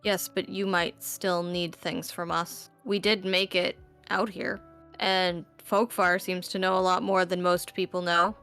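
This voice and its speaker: A woman speaks casually as a tabletop game player. Her voice is slightly low and quite creaky yet clean, with little melody in it. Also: flat delivery, a little slow, fairly bright tone, soft background music.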